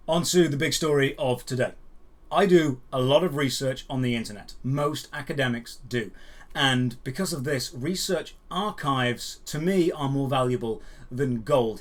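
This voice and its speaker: Man with a higher-pitched voice. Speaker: host of a gaming news show. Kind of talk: scripted news commentary with personal opinion.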